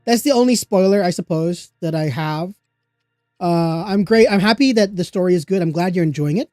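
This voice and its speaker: A cheerful streamer chats casually with their viewers, laughing easily between remarks. The nose sounds stuffed up from a cold, over a mellow, warm tone. The mic sounds dry and close, with soft music faintly behind. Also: mid-range voice, moderate pace, no echo. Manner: upbeat, chatty, giggly. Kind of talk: casual livestream chat. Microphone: desktop streaming microphone.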